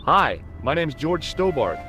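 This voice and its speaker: Wry American tourist, lively intonation. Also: male voice, on the high side, a little slow, over music. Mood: chatty, eager. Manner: sardonic, conversational. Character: wry, easygoing young American male tourist. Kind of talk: video game dialogue and narration.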